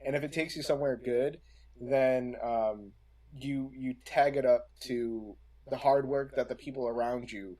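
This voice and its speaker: A young man talking casually as a podcast panelist, reflective and halting, repeating phrases while he builds a point. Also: mid-range voice, fairly even intonation, mellow.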